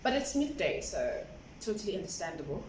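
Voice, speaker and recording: A woman's voice: mid-range voice, very animated, a little fast, noisy background. A woman, talking through her self-care routine in a casual, rambling vlog, filling her speech with "like". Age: 20s. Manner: chatty, rambling, fills with "like", laughs often. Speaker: vlogger. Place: indoor room at home. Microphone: camera on a stand. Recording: roomy, slightly distant mic with faint ambience.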